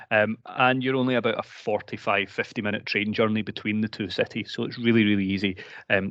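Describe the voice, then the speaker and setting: A man with a Glaswegian accent presents his university over a video call, stopping often for ums and restarting his phrases. His voice sits fairly low, and the sound is dry and a bit dull. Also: a bit bright, no echo. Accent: Glaswegian Scottish. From Scotland. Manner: frequent ums and word repeats, conversational. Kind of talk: online presentation to counsellors.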